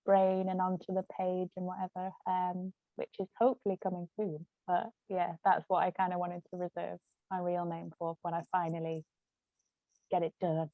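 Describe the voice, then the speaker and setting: A woman's voice: on the low side, completely flat delivery, normal speed, dry recording. Woman in dull, dry audio, chatting casually. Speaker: author and actor, interview guest. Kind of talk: casual chat.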